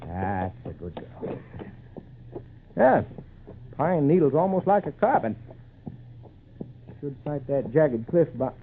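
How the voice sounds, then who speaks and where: A man's voice: fairly high pitch, sing-song, takes it slowly, pauses often, heavily acted. A man playing a polite, assured city lawyer in 1940s radio acting. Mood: easygoing. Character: calm, courteous city lawyer. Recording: noisy, tinny 1940s radio recording.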